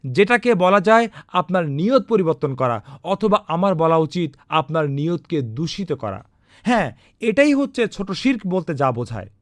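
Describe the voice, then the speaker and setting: A man with a fairly high voice narrates a religious lesson. His pitch rises and falls a lot as he lectures earnestly and asks rhetorical questions. The sound is close and dry, with no room around it. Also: dry recording. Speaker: narrator of an Islamic cartoon.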